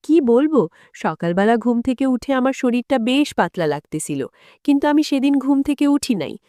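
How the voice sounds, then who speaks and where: A woman's voice: sits high, big pitch swings, normal speed, dark tone, dry recording, studio quality. A woman narrating a dramatic first-person story as a storyteller.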